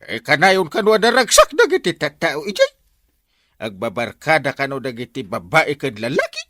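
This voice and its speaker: A man acting a comically slow-witted village captain in an Ilocano comedy drama, with an Ilocano accent. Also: fairly high pitch, huge pitch swings, bright, forceful tone, no room sound, clean studio sound, full character voice. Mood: reporting.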